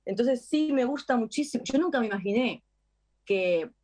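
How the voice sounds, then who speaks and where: Chatty Argentine woman, fairly high voice. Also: normal speed. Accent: Argentine Spanish. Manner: chatty, frequent fillers, animated retelling. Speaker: actress guest. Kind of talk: casual interview chat.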